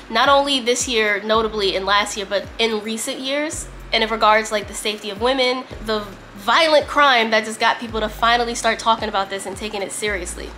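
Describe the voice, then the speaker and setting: A woman's voice: fairly high pitch, sharp tone, slight background noise. A woman vlogger chatting casually and emphatically to camera, with a slightly strained, congested voice from a lingering cough. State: congested, getting over a cough. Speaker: vlogger, host. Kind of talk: casual sit-down chat to camera, some reading aloud.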